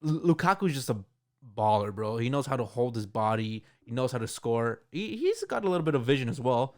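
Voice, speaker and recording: A man's voice: medium pitch, very animated, a little fast. Young man casually debating football. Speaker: football podcast co-host. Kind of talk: casual sports debate among friends.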